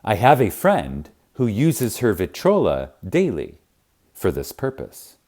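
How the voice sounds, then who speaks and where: A man narrating a spiritual audiobook in a reverent, emphatic manner, with deliberate pauses. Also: on the low side, huge pitch swings, a little mellow. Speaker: audiobook reader. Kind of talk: scripted narration of a spiritual self-help audiobook.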